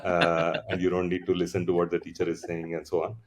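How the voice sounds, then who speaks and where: Indian founder, thirties, very low voice, reflective and halting, casual interview storytelling. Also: male voice, monotone. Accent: Indian English. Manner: reflective, many fillers and restarts. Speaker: founder, podcast guest.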